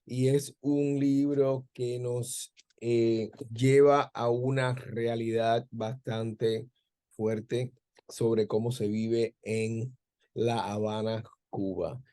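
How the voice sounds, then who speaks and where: A man's voice: mid-range voice, level tone, very slow, warm tone. A man hosting a conversational interview, phrasing his questions in a hesitant, circling way. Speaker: interview host, film director.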